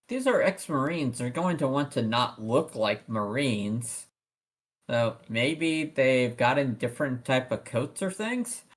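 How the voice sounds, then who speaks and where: A tabletop game player chatting casually and haltingly, describing and voicing a character's actions while thinking aloud. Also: mid-range voice, a bit bright. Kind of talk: casual in-character roleplay chat.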